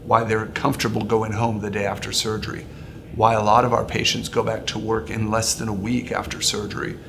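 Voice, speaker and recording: Male surgeon, interview explanation. Gravelly, flat intonation, recorded with clear ambient noise. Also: fairly low pitch, fairly quick. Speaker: bariatric surgeon.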